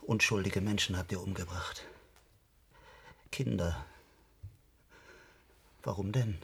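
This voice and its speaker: A man's voice: low voice, slow, vocal fry, a little hiss or hum, exaggerated voice. An actor playing a bitter, outraged male official in a German radio drama, confronting an enemy with accusations. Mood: outraged, accusing.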